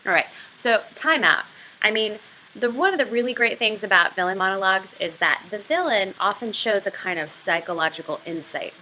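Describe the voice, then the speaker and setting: A woman's voice: mid-range voice, animated, a little fast, pushed and bright, a little hiss or hum, low-quality recording. A woman giving an explanatory literature lesson as a video host, conversational and enthusiastic. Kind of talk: explanatory lesson with novel read-aloud.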